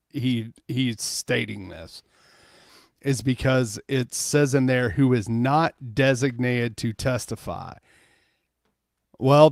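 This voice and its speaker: Gravelly-edged man chatting casually. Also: medium pitch, darkish tone, dry recording, studio quality. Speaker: livestream co-host. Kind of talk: casual chat.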